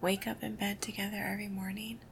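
A woman doing a whispered ASMR girlfriend roleplay, soft, intimate and affectionate. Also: medium pitch, flat delivery, fast talker, gravelly, slight background noise.

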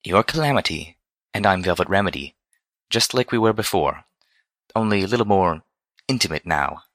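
A man voicing a refined, teasing female pony with a smooth, silky voice and genteel, formal diction, in a storybook reading. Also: low voice, moderate pace, bright, forceful tone, no echo, clean studio sound. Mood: gentle, silky.

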